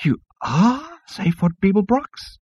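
Casting: fragile insect receptionist, high swooping squeal, prissy and petulant, comic audiobook. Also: male voice, sing-song, slow, mellow, husky, dry recording, full character voice. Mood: flustered. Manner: prissy, snippy, fussily polite.

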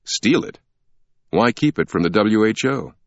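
A stern, skeptical middle-aged professor speaks firmly and with disbelief, as a male narrator reads him. The voice sits deep, and the audiobook recording is dry and a little muffled. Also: expressive, unhurried, no room sound. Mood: disbelieving. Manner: firm, disbelieving.

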